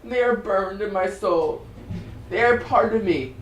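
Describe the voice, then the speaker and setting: A woman performing a dramatic reading as a tormented, despairing figure possessed by demons, swinging between hushed and loud pleading. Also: mid-range voice, unhurried, muffled recording, a little hiss or hum, natural voice. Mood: urgent warning. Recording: roomy echo, music underneath.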